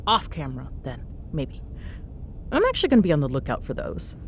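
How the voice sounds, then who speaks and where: A woman's voice: wildly swooping pitch, brisk. A woman playing a teasing, bossy but fond young ghost hunter in an intimate ASMR roleplay, bantering sarcastically in a casual, murmuring way. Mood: casual, reassuring. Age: young adult. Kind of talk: ASMR audio roleplay, intimate one-sided chat. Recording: clear background noise with low rumble.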